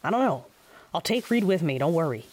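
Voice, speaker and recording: A woman playing a hurt, stubborn but affectionate younger sister in an audio drama, with a husky, breathy voice. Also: fairly low pitch, very animated, brisk, darkish tone. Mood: defiant, upset.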